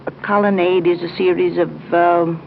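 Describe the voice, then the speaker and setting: Creaky, highish radio contestant, man. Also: flat delivery, normal speed. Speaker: quiz contestant. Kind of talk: unscripted answers in a live radio quiz. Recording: muffled, noisy 1930s radio broadcast recording.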